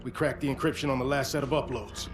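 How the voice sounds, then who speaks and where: An actor playing a military intel officer giving brisk, clipped reports. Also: male voice, medium pitch, fairly even intonation, reverberant, music in the background, lightly acted.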